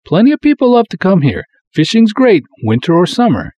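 Husky man acting a folksy pulp lake cop. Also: high voice, huge pitch swings, a little fast, warm tone, no echo, pitched above the performer's own voice, full character voice. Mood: proud, folksy. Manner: breezy, colloquial, hard-boiled wisecracking delivery. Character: proud, folksy small-town male lake cop, pulp detective narrator. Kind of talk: dramatic first-person pulp story narration.

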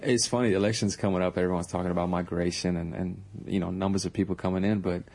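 Earnest young man, fairly low voice. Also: level tone, fast talker, a little mellow. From born in Iran, raised across the Pacific and Europe. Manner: earnest, with frequent restarts and self-corrections. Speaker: guest, community basketball organiser. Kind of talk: casual radio interview.

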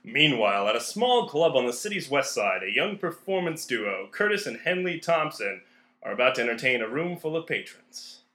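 A male co-host reads scripted narration for a radio play. His voice is forceful and swooping, recorded in an echoey room. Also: medium pitch, very animated, moderate pace.

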